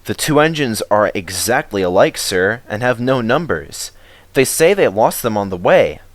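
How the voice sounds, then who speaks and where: A man's voice: lowish voice, very animated, moderate pace, no room sound. Deferential storybook railway inspector man acting, polite, apologetic, dry close sound. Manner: respectful, apologetic.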